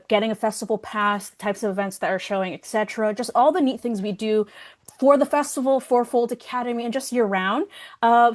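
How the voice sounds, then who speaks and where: A woman hosting an online workshop, speaking casually and chattily, often interrupting herself as she makes announcements. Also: mid-range voice. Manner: informal, chatty, self-interrupting. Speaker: workshop host and event organizer.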